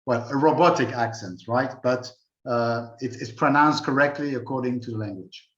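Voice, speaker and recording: A man from Belgium walking through a software demo at a user group meeting, unhurried and thinking aloud with many fillers. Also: medium pitch, reverberant, dull audio. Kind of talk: screen-share software demo.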